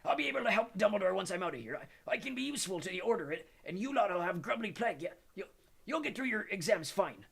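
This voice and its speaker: A hulking, soft-hearted half-giant gamekeeper speaks in a rough, gravelly voice, as a male reader puts him on. He stammers and stumbles in a rustic way, his pitch leaping high and low. It is a storybook performance. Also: very animated, moderate pace, exaggerated voice. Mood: tearful.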